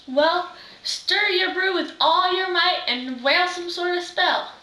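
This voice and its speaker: A woman's voice: very high voice, slow, bright, forceful tone, full character voice. A teenage girl playing a witch's chatty, bossy sidekick, reading with exclamations in readers' theater. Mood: bossy, teasing. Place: living room, far camera microphone. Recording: roomy, distant microphone.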